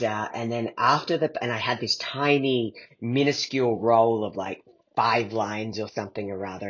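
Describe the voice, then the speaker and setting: A man's voice: lowish voice, a bit bright, slightly rough, muffled recording. A middle-aged man answering as an interview guest, reflecting in a hesitant, meandering way as he thinks aloud. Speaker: guest, playwright and performer.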